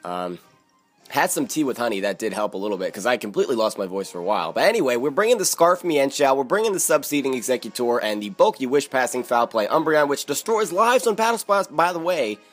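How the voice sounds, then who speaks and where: Casting: hoarse Philly-young man, chatty gaming commentary, faint music bed. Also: medium pitch, big pitch swings, fast. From Philadelphia, USA. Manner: chatty, strategizing aloud, frequent fillers. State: hoarse, raspy from yelling. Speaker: gaming YouTuber. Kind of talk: live game commentary.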